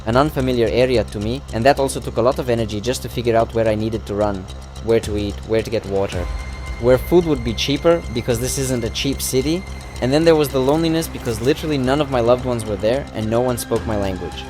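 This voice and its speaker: A man tells the story of his own endurance run in a calm, earnest voice-over at an ordinary mid pitch. He recounts events step by step, and music plays clearly beneath him. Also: quick pace. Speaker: runner telling his own challenge. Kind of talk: reflective voice-over narration.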